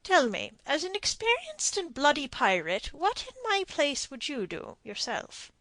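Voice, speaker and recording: A woman's voice: high-pitched, huge pitch swings, unhurried, pushed and bright, dry recording, pitched above the performer's own voice, exaggerated voice. A woman reading an audiobook and voicing a self-assured, mocking sea captain with a dry wit. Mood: sardonic. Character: sardonic witty Irish sea captain, man. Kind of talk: audiobook character voice.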